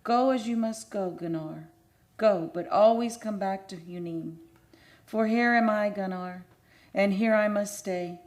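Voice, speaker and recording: A woman's voice: mid-range voice, a little slow, darkish tone, lightly acted. A woman playing a tender, lovelorn ghost in a radio play, speaking in solemn, archaic, incantatory phrases. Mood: solemn longing. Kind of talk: old-time radio play acting. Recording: music under the voice.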